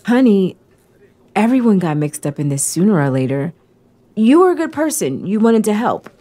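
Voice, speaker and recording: A woman's voice: medium pitch, very animated, normal speed, warm tone. A woman acting in an audio drama as a blunt, world-weary young resistance fighter with a wry, frank way of talking.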